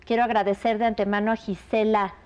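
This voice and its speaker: A woman with a Mexican Spanish accent hosts a television talk show and closes the segment graciously. Her voice sits in an ordinary middle pitch, and she speaks with smooth, practiced ease. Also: flat delivery, fast talker, darkish tone, highs cut off. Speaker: host.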